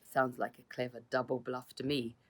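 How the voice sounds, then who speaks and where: A woman voicing a patient male police constable in a storybook, explaining things in formal, measured terms. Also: rumbling low, level tone, pitched below the performer's own voice. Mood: firm, explaining.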